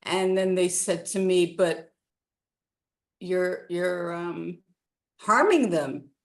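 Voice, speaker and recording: A woman's voice: fairly low pitch, slow, vocal fry. A woman in her sixties from Canada, a guest telling her life story in a rambling, casual chat with many restarts.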